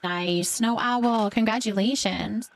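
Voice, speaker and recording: A woman's voice: fairly high pitch, takes it slowly, rough recording. A middle-aged woman hosting a casual live stream, chatting informally and thanking viewers as she answers their comments.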